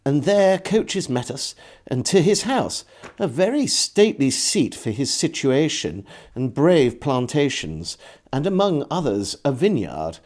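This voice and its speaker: A man reading an old diary aloud as a storyteller, in measured phrases with deliberate pauses. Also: mid-range voice, sing-song, a little mellow. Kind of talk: scripted literary narration of an old diary.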